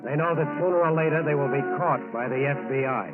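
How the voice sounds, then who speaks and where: A man's voice: fairly high pitch, quick pace. A man narrating a scripted 1940s radio crime show in a grave, emphatic manner. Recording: narrow-band old radio, loud music. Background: loud brass orchestral music.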